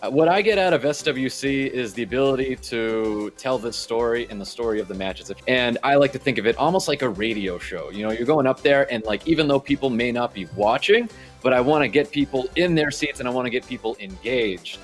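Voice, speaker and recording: A man with a medium-pitched voice gives casual interview answers as an esports caster. Music plays behind his conversational talk. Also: animated, brisk.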